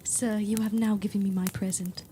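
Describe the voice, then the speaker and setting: An actress playing a modest but candid young governess in a period audio drama, speaking in a polite, measured and reserved way. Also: female voice, medium pitch, little pitch movement, dark tone, slight background noise, lightly acted. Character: modest, candid eighteen-year-old governess with quiet resolve.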